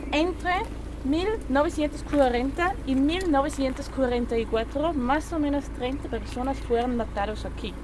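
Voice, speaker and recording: Woman tour guide, non-native speaker, hesitant with fillers, high voice, clear outdoor noise. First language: not Spanish. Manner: hesitant, many fillers, asks the group questions. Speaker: memorial site guide. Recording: noisy outdoor field recording.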